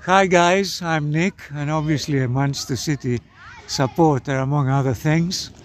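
A man in his seventies from Greece, a podcast guest telling stories in a measured, thoughtful way. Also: fairly high pitch, slight background noise. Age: 70s. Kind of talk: casual conversation.

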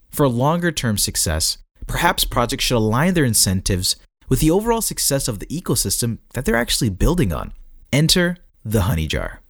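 A man's voice: medium pitch, no room sound, studio quality. A man reading a scripted article aloud as a narrator, with a tongue-in-cheek manner.

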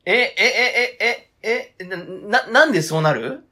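A man acting a hesitant, flustered partner in an amateur radio drama, protesting in a halting, conversational way. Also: high-pitched, lively intonation, sharp tone, slightly rough, exaggerated voice. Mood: panicked.

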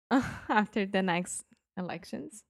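Woman, podcast co-host and learner, unhurried, laughs easily. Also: medium pitch, lively intonation, vocal fry, dry recording, studio quality. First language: Portuguese. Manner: unhurried, laughs often, asks questions. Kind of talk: casual bilingual lesson chat.

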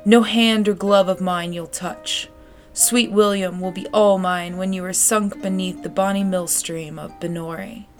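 A woman voicing a jealous, unforgiving elder princess from a storybook, cold, deliberate and scornful. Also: lowish voice, steady tone, faint music behind, natural voice. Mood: cruel spite. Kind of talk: storybook character voice.

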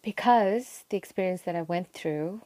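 A young woman from Sri Lanka, speaking softly as an interview guest and telling her story with frequent pauses and ums. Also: on the low side. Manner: soft-spoken, frequent ums, meandering. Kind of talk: casual personal storytelling.

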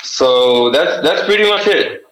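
A man's voice: mid-range voice, animated, unhurried, a bit bright, reverberant, low-quality recording. A young man with an Israeli accent, a native Hebrew speaker, answering interview questions about his art with many fillers and restarts. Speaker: artist and t-shirt designer.

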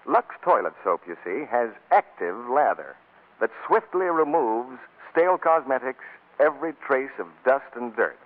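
A man's voice: mid-range voice, very animated, moderate pace. A man announcing for a sponsor in a polished, scripted 1940s radio style, on a tinny old recording. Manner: polished sales delivery.

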